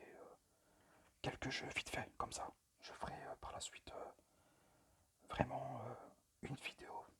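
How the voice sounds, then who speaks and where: Male amateur ASMR presenter: breathy whisper, lively pitch movement, tentative and informal delivery, phone mic. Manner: hesitant, unpractised, apologetic. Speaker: amateur ASMR creator and game collector. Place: at a desk indoors. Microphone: phone microphone.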